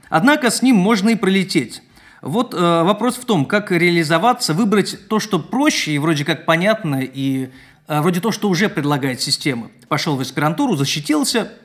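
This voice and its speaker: A man hosting a live interview, reading out listener questions with frequent pauses and fillers. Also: a little high. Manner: hesitant, many fillers. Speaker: interview host.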